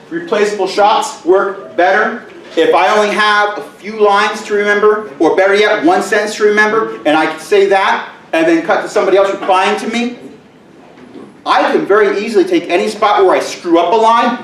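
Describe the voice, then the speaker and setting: A middle-aged male teacher giving a conference presentation in a loud, booming voice with joking asides, recorded from far off in a large room. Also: normal speed, echoey room, a little hiss or hum. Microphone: camera mic from far off.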